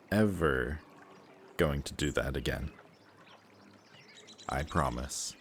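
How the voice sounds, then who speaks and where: Man acting as male reformed antihero, extremely deep voice, remorseful, affectionate, hesitant confiding delivery, intimate roleplay audio, some background noise. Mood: relieved, affectionate. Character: reformed antihero best friend, remorseful, affectionate, emotionally open.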